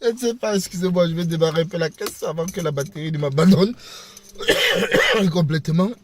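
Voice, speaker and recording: A man with a cold talking casually to his viewers from a parked car, halting and repeating words, often laughing. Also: fairly high pitch, heavy background noise. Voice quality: husky. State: hoarse with a cold. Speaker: video blogger.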